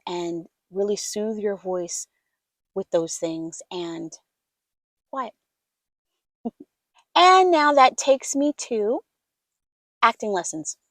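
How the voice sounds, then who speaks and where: Woman, soft-spoken voiceover coach, casual chat, mid-pitched, dead-dry booth sound. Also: dry recording. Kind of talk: casual instructional talk to camera.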